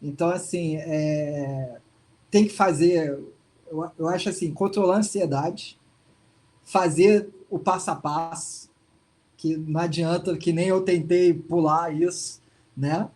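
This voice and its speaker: A man's voice: fairly high pitch, fairly even intonation, a little slow, darkish tone. A man being interviewed over a video call, speaking in a casual, hesitant way with many restarts and fillers. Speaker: interview guest. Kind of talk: casual testimonial chat.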